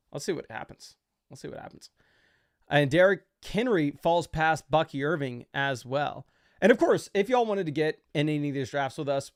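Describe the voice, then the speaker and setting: A man's voice: fairly high pitch, smooth, no room sound, studio quality. A young man hosting a fantasy football livestream, talking loosely and casually with lots of fillers as he explains his picks.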